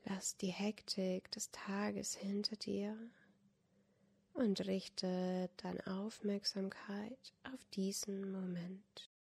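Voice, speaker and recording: A woman softly guiding a yoga nidra meditation in a breathy, whispery voice, calm and unhurried, with long pauses. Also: mid-range voice. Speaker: yoga nidra guide, podcast host. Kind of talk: guided meditation, soft instruction.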